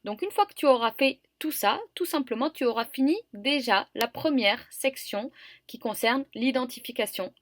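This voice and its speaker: A young woman patiently walking viewers through an online admission form, with frequent hesitations. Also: on the high side. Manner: patient and explanatory, with frequent fillers. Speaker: student guide for university admission form. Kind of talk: screen-recorded tutorial walkthrough.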